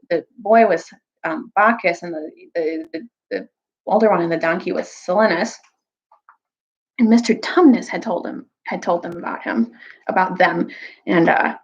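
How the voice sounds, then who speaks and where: A woman reading and retelling a story aloud in an expressive storytime style, like a teacher with students. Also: lowish voice, lo-fi sound. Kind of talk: storytime read-aloud with recap.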